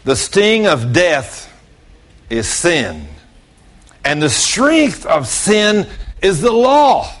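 A man's voice: high voice, big pitch swings, drawn-out words, long pauses, a bit bright. A man, giving an informal storytelling sermon in a folksy, plain-spoken way, talking straight to his listeners. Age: late 60s. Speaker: preacher.

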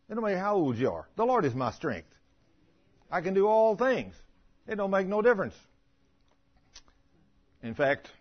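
A man's voice: on the high side, muffled recording. A man preaching in a folksy, emphatic way, reading scripture and putting plain questions to the congregation.

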